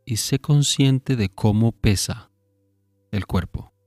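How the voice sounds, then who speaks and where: A man narrating a guided sleep meditation in a calm, soothing manner, giving unhurried instructions with long pauses. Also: mid-range voice, no room sound, faint music behind.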